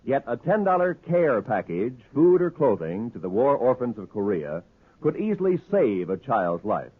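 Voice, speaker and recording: A man's voice: medium pitch, very animated, moderate pace. An American man announcing on 1950s radio in an earnest, formal style. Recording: muffled, rough old radio recording.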